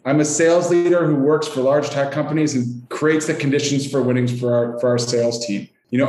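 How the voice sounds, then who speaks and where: A man's voice: medium pitch, darkish tone. A Canadian-accented man giving conversational answers as a sales executive guest on a podcast. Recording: roomy, echoey sound.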